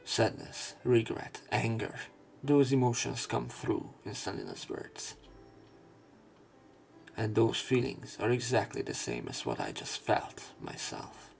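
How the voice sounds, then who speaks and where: A man reading a visual novel aloud as a let's player, in an expressive, dramatic narration style. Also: medium pitch, takes it slowly, creaky, soft background music, rough recording. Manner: expressive, with emotional swells.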